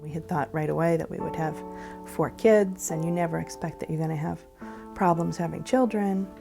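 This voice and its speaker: A woman's voice: low voice, steady tone, normal speed, creaky. A middle-aged woman recounting her own life conversationally in a documentary interview. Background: guitar music.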